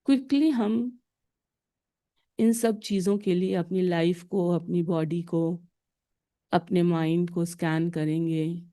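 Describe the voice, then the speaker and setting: A woman guiding a meditation with calm, deliberate instructions in short, separated phrases. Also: on the low side. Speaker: guided meditation teacher.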